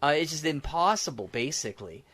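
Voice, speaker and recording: A man's voice: fairly high pitch, expressive, brisk, fairly bright tone, husky. A man chatting casually about game design as a podcast co-host, rambling and thinking aloud as he goes.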